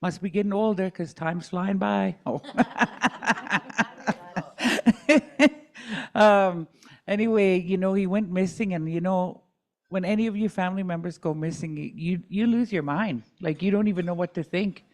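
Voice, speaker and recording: A woman's voice: on the low side, measured pace, pauses often. A middle-aged woman advocate giving candid personal testimony at a community dialogue, with joking asides.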